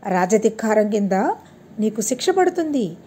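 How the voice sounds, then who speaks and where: A stern king who has taken offence, read by a woman storyteller, delivers a formal reproof in a moral tale. The voice is husky and mellow, with wide, commanding swings of pitch. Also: big pitch swings, normal speed. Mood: stern, offended. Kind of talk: audiobook storytelling.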